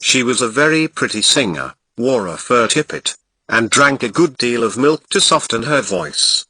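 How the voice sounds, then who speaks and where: Man, Ghanaian accent, storybook narration, very bright sharp tone, lively swinging pitch, dry close sound. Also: moderate pace, breathy edge, no room sound, low-quality recording. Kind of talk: storybook reading.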